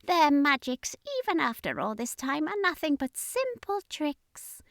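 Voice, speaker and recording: A woman's voice: sits high, sing-song, measured pace, gravelly, a bit bright, no room sound, studio quality, pitched above the performer's own voice, heavily acted. A woman voicing an arrogant, merciless octopus alien commander in an audiobook, with a contemptuous, sneering manner. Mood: sneering contempt.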